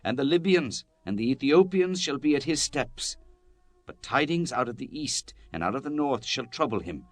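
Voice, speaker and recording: A man's voice: medium pitch, expressive, moderate pace, no echo. A man narrating scripture in a solemn, declamatory style, as in a dramatized Bible reading.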